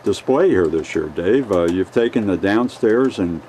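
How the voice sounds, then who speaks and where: A man's voice: fairly low pitch, lively intonation, normal speed, darkish tone. A man hosting an on-location interview in a home basement, earnest and folksy, stretching out his phrases. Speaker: video host interviewer. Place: home basement with train layout. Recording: faint background noise.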